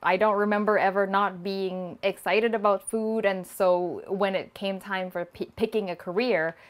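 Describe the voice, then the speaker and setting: A woman in her thirties from Thailand, hosting a cooking channel and answering viewer questions in a relaxed chat from her home kitchen. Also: medium pitch, normal speed. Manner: lively, talks with her hands, laughs often. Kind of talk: casual Q&A vlog. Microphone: small lapel mic.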